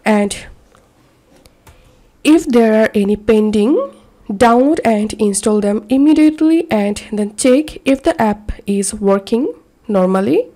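A woman presents a scripted how-to tutorial as a voice-over. Her voice sits fairly high yet sounds soft-edged and mellow. She takes each instruction slowly, one step after another. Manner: measured, step-by-step instructional delivery. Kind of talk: scripted software tutorial narration. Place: voice-over for a screen recording.

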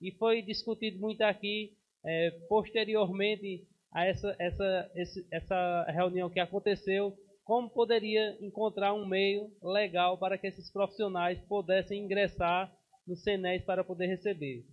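A councilman in his thirties speaks formally from a podium microphone in a council chamber, pausing and restarting now and then. His voice sits high and stays flat in tone. The broadcast sound is dull and muffled. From Brazil. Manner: formal, steady, with frequent hesitations. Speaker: city councilman. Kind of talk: formal speech at a council session.

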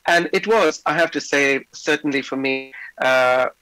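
Slightly hoarse man lecturing over video call. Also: mid-range voice, pushed and bright, no room sound, soft background music, low-quality recording. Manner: measured, many fillers. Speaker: invited lecturer. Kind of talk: remote conference lecture. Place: indoor office.

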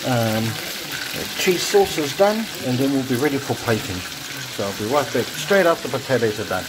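A relaxed man talks his way through cooking a meal at home, pausing for ums as he stirs and checks the pots. His voice sits at an ordinary middle pitch. It is caught on a phone over the stove, with clear kitchen noise and sizzling behind him. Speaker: home cook vlogger. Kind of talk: casual cooking commentary. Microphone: phone camera held over the stove. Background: sizzling and bubbling pots.

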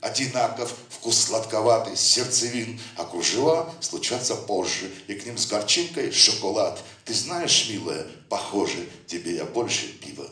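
A man's voice: on the low side, expressive, normal speed, few pauses, pushed and bright. A man presenting his poetry book, reciting verse with a rhythmic cadence and chatting loosely between poems. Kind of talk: poetry reading with anecdotes. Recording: echoey room, voice from a distant mic.

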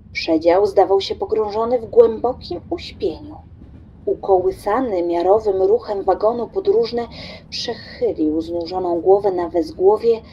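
Woman, dramatic literary narration, dark mellow tone, theatrical phrasing, slightly muffled recording. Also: medium pitch, slight background noise.

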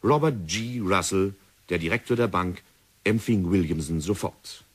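Male radio play narrator, low husky voice, animated lines. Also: measured pace.

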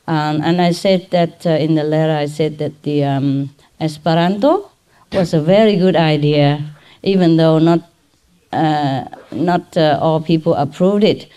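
A middle-aged woman with a Vietnamese accent giving an informal lecture in simple, halting English, checking in with her listeners. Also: deep voice, warm tone, clean tone. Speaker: spiritual teacher giving a lecture. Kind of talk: informal spoken lecture to a gathering. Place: large hall or tent with seated audience. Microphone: microphone on a boom stand.

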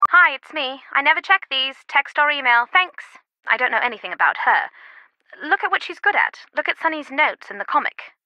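A woman's voice: sits high, lively intonation, brisk, sharp tone, no room sound, full character voice, low-quality recording. A woman playing a worldly, wry antiquities expert in an adventure game, talking in a conversational, confiding way. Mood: chatty, amused. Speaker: video game voice actor. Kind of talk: scripted adventure game dialogue.

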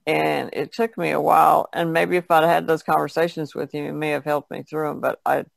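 Very creaky, low-voiced woman. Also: little pitch movement, brisk, no echo. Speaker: artist, podcast guest. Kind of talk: casual chat.

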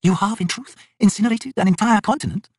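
A man voicing a high, solemn elder goddess. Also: fast, a little mellow, slightly rough, no echo, clean studio sound. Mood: horrified, pleading.

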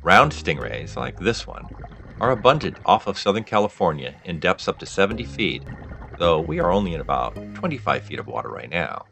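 Low-voiced man narrating, lively intonation. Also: brisk, creaky, faint music behind. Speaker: narrator, scuba diver. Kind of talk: scripted nature documentary narration.

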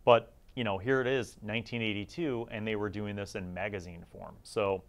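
A man's voice: on the low side, fairly even intonation, normal speed. A middle-aged man giving casual, opinionated review commentary in an informal, conversational way as the host of a series.

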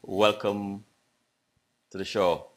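A male host with a low, deep voice, talking in a measured, unhurried way as he welcomes a guest. He sounds like he is in a small home studio, close to a desk mic. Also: level tone, fairly bright tone. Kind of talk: interview show. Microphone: desk microphone, video call.

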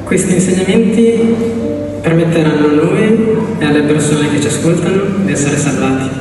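A man delivering a sermon in an earnest, somewhat halting way, pausing and repeating phrases as he goes. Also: a little high. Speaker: church preacher. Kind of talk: sermon with scripture reading. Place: large reverberant church hall. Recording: very echoey hall, distant mic, background noise.

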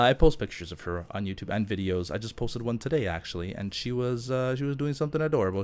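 A man's voice: lowish voice, a little hiss or hum. A man giving casual, chatty let's play commentary in an informal, self-deprecating and jokey way. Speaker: gaming YouTuber host.

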